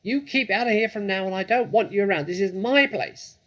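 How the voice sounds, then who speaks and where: A man's voice: lively intonation, brisk, a bit bright. A man voicing a bossy, indignant rat in a shrieking, scolding storybook voice. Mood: scornful, scolding. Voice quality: shrieking, high-pitched. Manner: scolding, territorial. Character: shrill, bossy, indignant male cellar rat.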